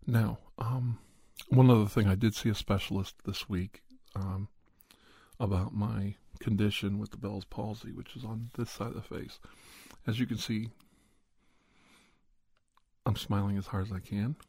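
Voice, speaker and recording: A man who makes ASMR videos, talking casually to camera from home into a condenser studio mic, his voice worn down by a cold and his talk unhurried and meandering. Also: fairly low pitch, fairly even intonation, husky, no echo. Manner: unhurried and meandering, soft at times. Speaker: ASMR channel creator and podcaster. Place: at home, indoors. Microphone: large condenser studio mic.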